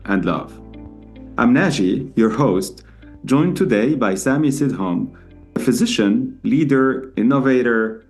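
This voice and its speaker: Low-voiced male podcast host. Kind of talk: podcast interview.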